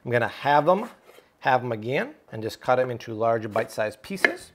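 A man in his thirties hosting a cooking video in a home kitchen, energetic and jokey, chatting with his crew as he cooks. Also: medium pitch, normal speed, background noise. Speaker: cooking show host. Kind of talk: upbeat cooking demo.

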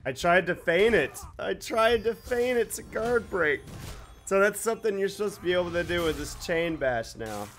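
Raspy young man commentating gameplay. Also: high voice, slight background noise. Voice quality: slightly raspy today. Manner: swears freely, excited outbursts and quick reactions. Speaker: gaming YouTuber. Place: home gaming setup, indoors.

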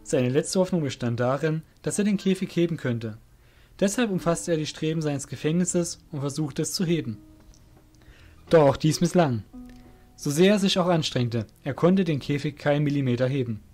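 A man's voice: fairly high pitch, normal speed, darkish tone, faint music behind. A man reading scripted narration for an audio drama as the narrator.

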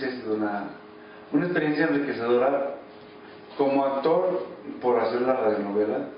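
Mexican man, distant in an echoey room. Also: mid-range voice. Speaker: interviewee, radio drama actor. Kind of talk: casual interview answers. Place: small office. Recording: very echoey office, distant muffled mic, faint noise.

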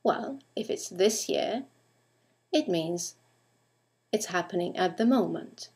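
A woman's voice: lowish voice, lively intonation, slow, darkish tone. A woman teacher narrating a scripted grammar lesson, enunciating carefully and putting questions to the listener.

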